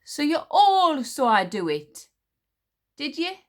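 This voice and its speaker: A woman's voice: sits high, big pitch swings, slow pace, voice pushed up, full character voice. A woman voicing a stern, proud warrior queen who speaks in an icy, grim, clipped way. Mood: commanding. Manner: cold, clipped, commanding.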